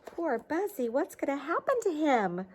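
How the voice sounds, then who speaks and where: A woman's voice: sits high, very animated, a little slow, a little hiss or hum. A woman reading a picture book aloud to children, expressively, with coaxing questions and asides to her listeners.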